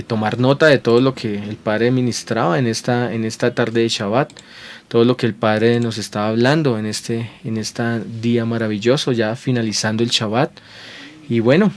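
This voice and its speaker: A man's voice: mid-range voice, slight background noise. A man preaching a sermon to viewers at home, earnest and repeating phrases as he builds his points. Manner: earnest, checks in with repeated rhetorical questions.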